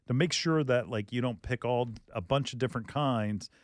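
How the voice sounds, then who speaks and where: A man's voice: mid-range voice, normal speed, dry recording, clean studio sound. Chatty man hosting a garden radio show. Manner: chatty, jokey, quotes callers in mock voices. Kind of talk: casual radio chat.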